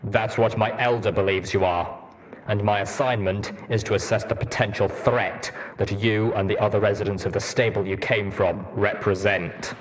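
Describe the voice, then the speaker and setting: A gruff, low-pitched soldier stallion performed by a man from a post-apocalyptic pony audiobook. He is stoic and formal, choosing his words in a measured, blunt manner. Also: fairly even intonation, close to an everyday voice. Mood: guarded.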